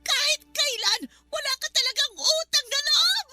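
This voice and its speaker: A woman's voice: extremely high pitch, lively intonation, normal speed, music in the background. A woman playing a spiteful middle-aged aunt in a Tagalog radio drama, scolding and blaming with bitter scorn. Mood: scornful. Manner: accusing, scolding, emphatic.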